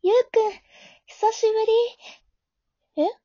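A high-pitched, mellow high school girl, acted by a woman in an amateur audio drama. She is caring and easily flustered, moving between inner narration and dialogue. The sound is very dry and slightly dull, like a home recording. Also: a little slow, no echo.

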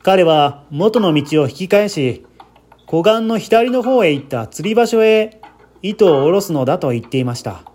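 A man's voice: high voice, expressive, a little slow, a little mellow, breathy edge, full character voice. A man reading the part of an earnest, anxious man who politely recounts a friend's death in a dramatic radio-story style. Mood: earnest, explaining.

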